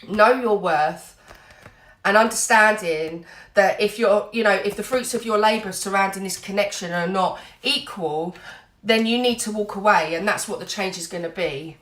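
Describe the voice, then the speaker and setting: Woman, tarot reader, slightly snotty with a cold, mid-pitched, echoey room. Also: moderate pace, a bit bright. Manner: earnest, reassuring, with many "you know" fillers. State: has a cold, a bit snotty. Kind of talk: tarot reading vlog.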